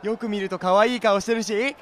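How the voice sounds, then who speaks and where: A man playing a chatty, cheeky, lovestruck high school boy in a youth comedy audio drama. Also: a little fast. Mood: hopeful. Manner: animated, casual slangy talk. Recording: noisy ambience behind.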